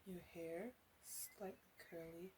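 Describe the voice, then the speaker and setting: A woman's voice: on the low side, slow. A woman playing a flirty, doting, slightly bossy witch in an ASMR roleplay, rambling and hesitant as she slips in and out of whispers. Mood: flirty, teasing. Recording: clearly audible background noise.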